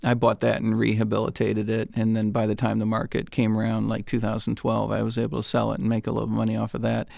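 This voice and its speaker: A man's voice: lowish voice, monotone, quick pace, gravelly, few pauses, a little mellow, no echo, studio quality. A man in his sixties, a retired economist, telling stories as a guest in a casual interview and often repeating words when he starts a sentence.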